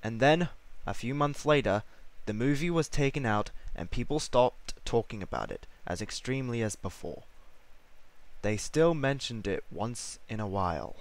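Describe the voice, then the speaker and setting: A man reading a scripted story as its narrator. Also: medium pitch.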